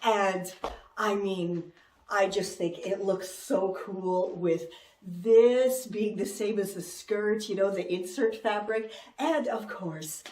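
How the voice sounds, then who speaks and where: A middle-aged woman with a stuffy nose from a cold, chatting her way through a sewing tutorial in a bubbly, excitable manner and laughing often. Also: mid-range voice, takes it slowly. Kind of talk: chatty step-by-step craft tutorial.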